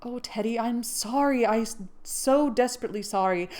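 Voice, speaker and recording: A woman's voice: on the high side, normal speed, pitched above the performer's own voice, lightly acted. Woman doing a spirited young woman, earnest and raised. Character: frank, spirited young woman refusing a suitor.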